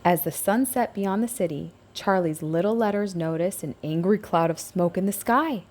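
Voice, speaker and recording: A woman's voice: fairly low pitch, moderate pace, a little mellow. A woman narrating a scripted picture book aloud for young children, as a storybook reader.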